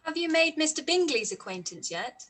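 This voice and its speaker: Inquisitive young gentlewoman played by a woman, arch and polite, high and lively, period radio drama. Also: moderate pace. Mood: curious. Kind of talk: period radio play live read.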